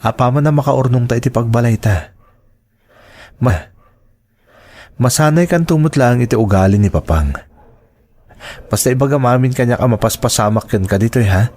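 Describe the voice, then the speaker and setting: A man's voice: medium pitch, normal speed, long pauses, a little mellow, lightly acted. An actor playing a reassuring, conciliatory young husband who defers to his father, in a radio-style drama.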